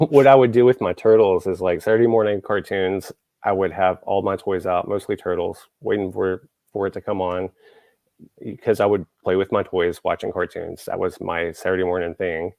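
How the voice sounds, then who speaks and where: A man's voice: sits low, steady tone, moderate pace, vocal fry, a little mellow, no room sound. A man from Texas, hosting a show and chatting casually, rambling with lots of fillers and restarts. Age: adult, grew up in the 1980s. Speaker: show host. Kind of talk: casual podcast chat.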